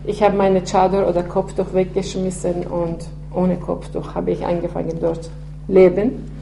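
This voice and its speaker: A woman in her sixties from Iran, speaking German with an Iranian accent, telling her life story haltingly with many fillers. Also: on the low side, completely flat delivery, vocal fry. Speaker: interviewee. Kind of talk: personal testimony. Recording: echoey room with faint hum.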